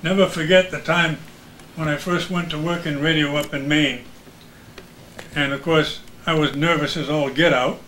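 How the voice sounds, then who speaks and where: An older man chats and tells anecdotes as a former radio announcer. His voice is sharp and somewhat high, with faint noise on the recording. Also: steady tone, normal speed, poor audio. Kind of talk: animated anecdotal chat.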